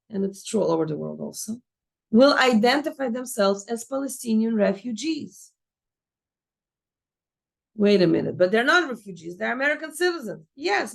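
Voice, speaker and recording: Gravelly-voiced woman telling a story. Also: on the high side. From Israel. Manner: storytelling, acts out dialogue. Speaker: course teacher. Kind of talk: lecture with a personal story.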